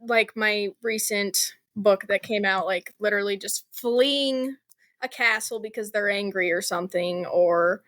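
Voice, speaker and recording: A woman's voice: mid-range voice, unhurried, fairly bright tone, no room sound, studio quality. A woman speaking as a guest author in a casual interview, chatty and informal, with plenty of "like" and "you know".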